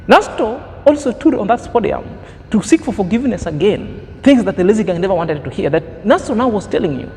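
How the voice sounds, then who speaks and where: Man, 30s, high-pitched vlogger with a preachy, emphatic delivery and lofty vocabulary, recorded in a reverberant room with a low rumble. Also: big pitch swings, a little fast, a little mellow. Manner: preachy, emphatic, fond of grand words. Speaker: commentary vlogger. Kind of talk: opinion commentary monologue.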